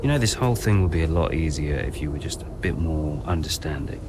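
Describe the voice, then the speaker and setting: A man's voice: extremely low pitch, lively intonation, background noise. An actor playing an evasive, impatient male team boss in a video game cutscene, with a sarcastic, dismissive way of talking. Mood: defensive. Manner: sarcastic, dismissive, quick to deflect.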